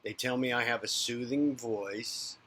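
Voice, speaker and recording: A man hosting his own unscripted spiritual talk as a psychic medium, rambling and candid, swearing freely and swinging between loud rants and soft murmurs. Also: medium pitch, fairly even intonation, unhurried, a little hiss or hum. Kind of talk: unscripted spiritual monologue to camera. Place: based in a big city.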